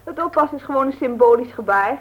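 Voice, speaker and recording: A woman's voice: high-pitched, animated, fast, a bit bright, dull audio, slight background noise. An actress playing a sociable, eager young woman who chats with visitors in a Dutch radio play. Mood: welcoming, chatty. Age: young adult. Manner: chatty, eager, friendly.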